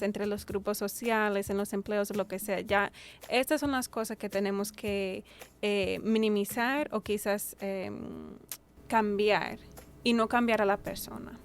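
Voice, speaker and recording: Dominican woman, even mid voice, earnest explainer in a panel talk. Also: mid-range voice, clear, resonant tone. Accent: Dominican Spanish. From Dominican Republic. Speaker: podcast panelist. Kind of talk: casual discussion.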